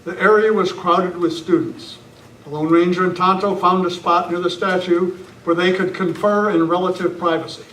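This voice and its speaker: A man's voice: sits high, steady tone, moderate pace, a bit bright. A man reading scripted narration for an old-time radio western. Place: club meeting room, live presentation. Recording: echoey, noisy room, distant mic.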